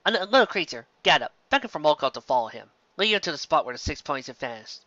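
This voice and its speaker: Male amateur storyteller, higher-pitched, forceful, scripted fantasy narration, dry muffled recording. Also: big pitch swings, very fast, no room sound. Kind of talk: amateur fan fiction reading, scripted narration.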